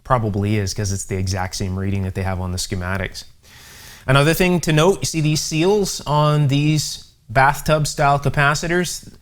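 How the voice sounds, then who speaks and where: A man giving an unhurried, methodical hands-on electronics repair demonstration, with conversational asides as he explains each step. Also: mid-range voice. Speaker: host, electronics repair instructor. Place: indoor electronics workshop.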